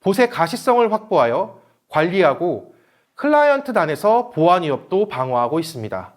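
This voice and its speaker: Formal male presenter, high lively pitch. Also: normal speed. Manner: formal, read-out delivery. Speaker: presenter at an online seminar. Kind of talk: scripted technical presentation.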